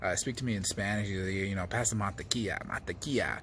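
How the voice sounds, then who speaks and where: An American man telling reflective personal stories as a storyteller, speaking haltingly and often repeating words as he searches for the next phrase. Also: low voice, a bit bright, a little hiss or hum. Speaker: comedian and storyteller.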